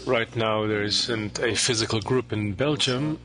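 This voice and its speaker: A man's voice: lowish voice, unhurried, crowd noise. A man working as an interpreter, relaying someone else's remarks in English phrase by phrase. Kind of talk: consecutive interpreting.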